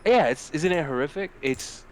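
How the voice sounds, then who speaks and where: A man chatting casually as a podcast co-host, animated, foul-mouthed and quick to mock himself. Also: fairly high pitch, crowd noise. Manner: profane, animated, self-mocking. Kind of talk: casual chat.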